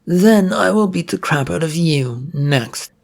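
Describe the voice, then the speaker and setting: Menacing tough guy for story narration, read by the narrator. Vengeful, threatening, gravelly. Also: mid-range voice, expressive, a little slow, voice pushed up. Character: menacing, vengeful male brawler.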